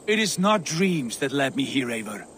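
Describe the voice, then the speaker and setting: An actor playing a proud, zealous Viking jarl in a video game, with a grandiose, declamatory delivery. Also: male voice, mid-range voice, sing-song, moderate pace, fairly bright tone, slight background noise. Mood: fervent. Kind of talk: video game cutscene acting.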